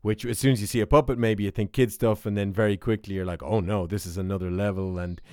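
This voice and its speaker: A man's voice: fairly low pitch, steady tone, fast talker, a little mellow, smooth, no echo, studio quality. A man hosting a podcast in a playful, jokey way, interviewing guests about a film. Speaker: podcast host. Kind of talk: casual chat.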